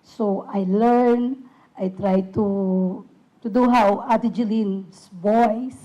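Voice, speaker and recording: A woman with a husky voice giving a hesitant, casual talk about her puppet ministry, with many fillers and restarts. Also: medium pitch, fairly even intonation, extremely slow, dark tone, rough recording. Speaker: puppet ministry team member. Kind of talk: casual presentation.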